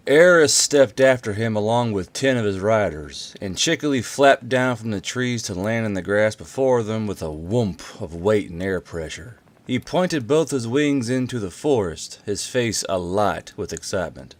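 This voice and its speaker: Male narrator-host, lower-pitched voice, offhand and casual, for story readings and channel talk. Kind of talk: casual intro, then audiobook-style story narration.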